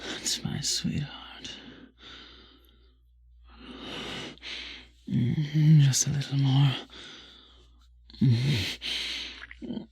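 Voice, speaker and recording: A man playing a possessive, doting male vampire in an intimate ASMR roleplay, speaking formally in a husky, breathy murmur that often drops to a whisper. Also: mid-range voice, fairly even intonation, extremely slow, darkish tone, heavily acted. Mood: hungry, breathless.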